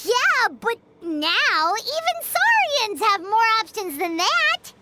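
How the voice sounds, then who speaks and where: Squeaky tiny companion. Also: female voice, sing-song, takes it slowly, loud music behind the voice, heavily acted. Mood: teasing. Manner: blunt, teasing. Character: tiny female floating companion, blunt and impatient. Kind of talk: game voice acting.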